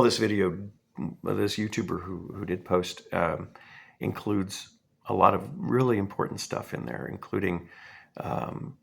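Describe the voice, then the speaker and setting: A man in his fifties, hoarse from a cold, giving a halting, earnest commentary with many pauses and fillers. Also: low-pitched, a bit bright. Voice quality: gravelly and husky. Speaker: response video maker. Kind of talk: casual spoken commentary.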